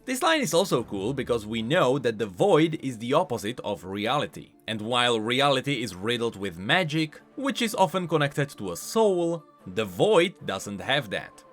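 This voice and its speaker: Animated man explaining game lore. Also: medium pitch, moderate pace, hardly any pauses, soft background music. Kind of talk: scripted explanatory commentary.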